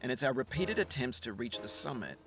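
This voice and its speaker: Casting: male narrator, measured and philosophical, expressive pitch, music playing underneath. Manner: calm, thoughtful, measured. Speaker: game narrator. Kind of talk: scripted reflective narration.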